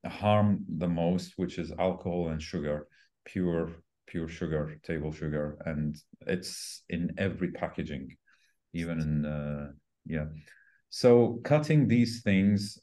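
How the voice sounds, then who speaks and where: A man in his forties with a notably deep voice and a dark, relaxed tone. He explains like a fitness coach being interviewed, conversationally and a little haltingly, searching for words and then repeating the important ones for emphasis. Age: 40s. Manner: emphatic repetition of key words. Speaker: fitness trainer and podcast guest.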